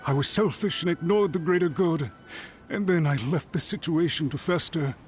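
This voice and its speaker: An anxious male official from a video game, acted by a man, humble and remorseful. His voice sits a little high, and he pleads in formal, deferential phrases over background music. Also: normal speed, natural voice. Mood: remorseful, pleading.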